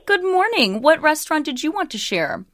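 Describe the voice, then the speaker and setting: A woman hosts a call-in radio show in a friendly, upbeat way. Her voice sits at a middle pitch, close and dry on the studio mic. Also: big pitch swings, a bit bright, no room sound. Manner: friendly, upbeat, quick to affirm. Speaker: radio host.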